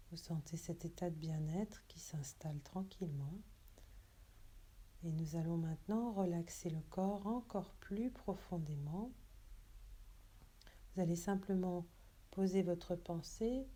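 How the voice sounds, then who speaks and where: A woman yoga teacher leading a guided relaxation meditation in a soft, breathy, hushed and soothing, unhurried way. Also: fairly low pitch.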